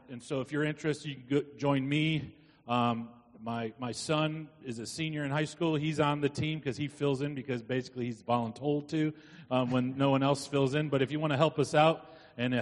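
A middle-aged man giving informal, halting announcements to a congregation as a church committee chair. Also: medium pitch. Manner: halting, long-winded. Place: inside a church. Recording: church hall with long reverb.

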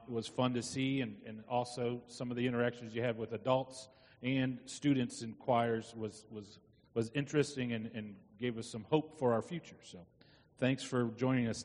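A middle-aged man giving informal, halting announcements to a congregation as a church committee chair. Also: medium pitch. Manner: halting, long-winded. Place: inside a church. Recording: church hall with long reverb.

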